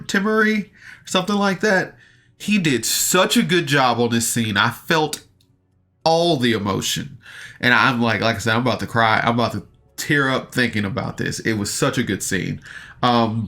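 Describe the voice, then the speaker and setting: Man, slightly low pitch, bright forceful voice, casual podcast reviewer, informal rambling delivery. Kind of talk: casual recap and review of an audio drama.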